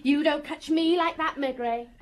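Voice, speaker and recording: An actress playing a brazen, bitter, sharp-tongued landlady who scorns the police, in a radio drama. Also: high voice, moderate pace, close to an everyday voice. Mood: mocking.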